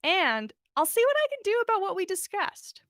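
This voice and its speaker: A confident young woman from a storybook, played by a woman reader, brisk and teasing with her friend. Her high voice swings widely in pitch and sounds dry and close. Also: dry recording, exaggerated voice. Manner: lively, direct, teasing.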